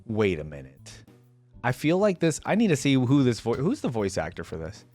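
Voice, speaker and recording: A man gives casual reaction commentary as the host of a video. His pitch moves around a lot as he talks. The sound is dry and close, with music playing behind him. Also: huge pitch swings, moderate pace, darkish tone, no echo.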